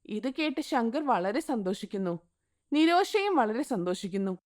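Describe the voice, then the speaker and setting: A woman narrating an animated moral story in a scripted storybook style. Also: a little high, normal speed. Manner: even, storytelling tone.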